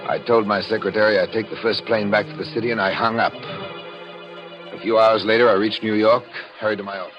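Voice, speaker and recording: Guy acting as cold smug killer husband in old radio thriller, low voice, muffled with music behind. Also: level tone, close to an everyday voice. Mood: smug, brooding. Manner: deliberate, menacing, smugly self-satisfied. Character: coldly scheming husband confessing his perfect murder. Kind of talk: 1940s radio thriller acting and first-person narration.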